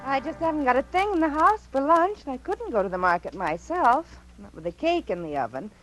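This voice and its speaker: A high, very lively American woman's voice. She is a caring middle-aged housewife, chatty and fretful, in 1950s radio drama style. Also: fairly quick, faint music behind, low-quality recording. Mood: fussing.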